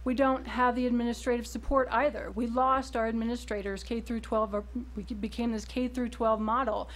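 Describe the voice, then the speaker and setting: Woman, concerned parent, public comment, earnest, pointed, slightly high pitch, podium mic, meeting room. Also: level tone, brisk. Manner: earnest, pointed, pleading for action. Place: school board meeting room.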